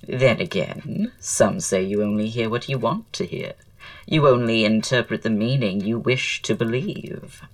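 An actor playing a witty, flamboyant Victorian writer and aesthete in an audio drama, speaking in a florid, languid, theatrical way. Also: male voice, on the low side, a little slow, recorded from a distance. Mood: coy, teasing.

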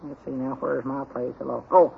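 An actor playing a folksy, muddle-headed and excitable country man with a Southern US accent in an old-time radio comedy. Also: mid-range voice, moderate pace, vocal fry, breathy edge, full character voice. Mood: halting, eager. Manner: folksy, easily distracted, rambling. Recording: muffled, worn old radio recording.

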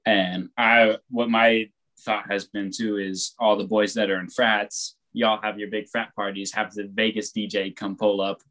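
A young man talks in a relaxed, rambling way, dropping swear words easily. His voice sits a bit low and carries loud. It sounds like an easygoing chat between friends. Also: a little slow, poor audio. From born on the West Coast, raised on the East Coast of the US. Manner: rambling, laid-back, swears freely. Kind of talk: casual chat.

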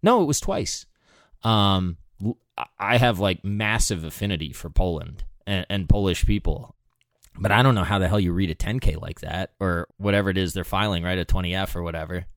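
A man's voice: deep voice, animated, brisk, dry recording, clean studio sound. An American man hosting a podcast, chatting informally and candidly. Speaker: podcast host. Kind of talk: casual chat.

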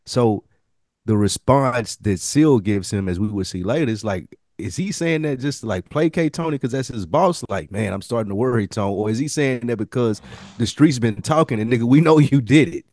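A man's voice: medium pitch, expressive, moderate pace, darkish tone, dry recording, studio quality. A man co-hosting a podcast, talking through a TV show casually in a slangy, explanatory and emphatic way. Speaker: podcast co-host. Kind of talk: casual analytical TV discussion.

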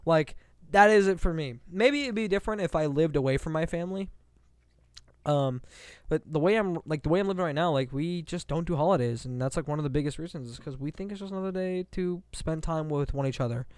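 A man's voice: a little high, a little fast, a little mellow, dry recording, studio quality. A man from Iran, whose first language is Farsi, co-hosting a casual podcast and rambling with lots of fillers and swearing. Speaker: podcast co-host.

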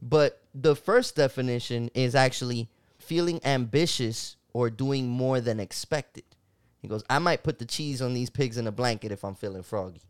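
A man's voice: mid-range voice, normal speed, clean tone. Earnest man hosting a casual podcast. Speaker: podcast host. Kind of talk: casual conversational podcast talk.

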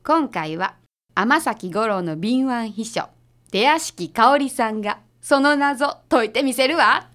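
A woman's voice: on the high side, expressive, takes it slowly, a bit bright. A woman playing a blunt, short-tempered female detective's assistant in a radio drama, with a Kansai accent. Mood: decisive. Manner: blunt, bossy.

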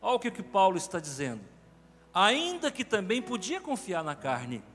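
A man's voice: high-pitched, wildly swooping pitch, normal speed, a bit bright. A man with a Brazilian Portuguese accent, preaching an emphatic sermon as pastor to his congregation in a large church. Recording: reverberant church hall with faint music.

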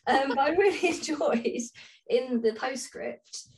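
A woman's voice: high voice, slow pace, darkish tone. A woman co-hosting a podcast, talking through books casually and informally in chatty, rambling explanations. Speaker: podcast co-host. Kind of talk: casual book discussion chat.

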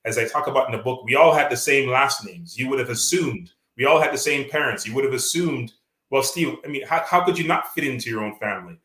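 A man's voice: mid-range voice, lively intonation, quick pace, fairly bright tone, echoey room. A Canadian man with a Canadian accent, an author speaking earnestly in an interview, with many fillers and restarts. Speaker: author guest. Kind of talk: reflective interview talk.

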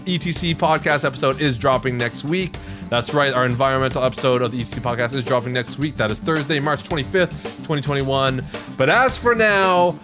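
A man co-hosting a podcast, chatting casually in an emphatic, animated way. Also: fairly high pitch. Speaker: podcast co-host.

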